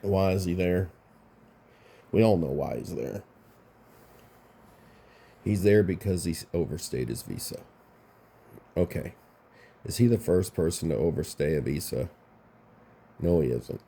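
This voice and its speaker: A man's voice: deep voice, measured pace, vocal fry, pauses often, warm tone, slightly rough. A man hosting a casual commentary vlog, sounding congested and rambling, often restarting his phrases. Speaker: YouTube commentary host.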